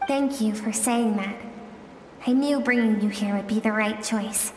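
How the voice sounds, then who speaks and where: A woman plays a gentle, earnest young girl from a video game, her voice high and tender. She speaks slowly and pleadingly, ringing out in an echoey hall with soft music behind her. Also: background noise, lightly acted. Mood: compassionate, sad. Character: earnest, gentle young girl, a warrior's lost childhood self. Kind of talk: scripted video game voice acting.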